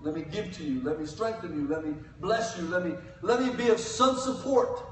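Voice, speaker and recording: An older man delivers a sermon from behind a wooden pulpit, his voice sitting high for a man. He rises to loud cries and drops to quiet asides. The sound comes from well back in a very echoey sanctuary, with a faint hiss underneath. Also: moderate pace. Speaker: guest preacher. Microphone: pulpit mic, filmed from the pews. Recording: echoey church from far off.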